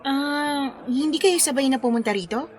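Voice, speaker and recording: An actress playing a friendly, patient middle-aged wedding planner in a radio drama, with a Filipino accent. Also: female voice, high voice, normal speed, close to an everyday voice. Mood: friendly, polite. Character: friendly forty-year-old woman wedding planner, patient and sincere. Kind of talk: Tagalog radio drama dialogue.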